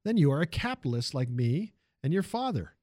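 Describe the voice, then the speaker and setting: A man's voice: a little high, big pitch swings, brisk, mellow, dry recording, clean studio sound. A man voicing an imperious, demanding industrialist in a narrated story, with a commanding and emphatic manner. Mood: stern, demanding. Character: imperious male automobile tycoon, demanding and cold.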